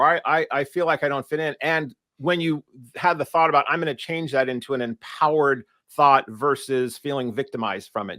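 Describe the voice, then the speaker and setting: Man, podcast host, slightly high pitch, dry close sound, rambling questions. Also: pushed and bright, dry recording. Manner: rambling questions with fillers and restarts.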